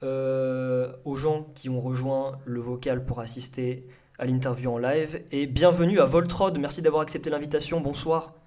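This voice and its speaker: A man's voice: medium pitch, little pitch movement, moderate pace, darkish tone. A man hosting a casual interview, asking loose questions with many fillers. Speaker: host.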